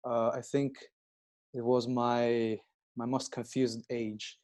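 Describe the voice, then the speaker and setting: A man in his twenties who grew up in Italy, speaking slow, halting non-native English as a guest with many pauses and restarts. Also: medium pitch, flat delivery, darkish tone. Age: 20s. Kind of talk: casual interview chat.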